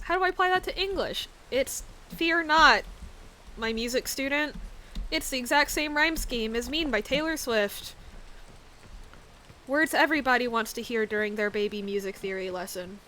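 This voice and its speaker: A woman's voice: on the high side, animated, normal speed, bright, forceful tone, slight background noise. A woman streaming a game, rambling casually with many fillers and giggles, with a slight lisp. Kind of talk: casual chat while gaming.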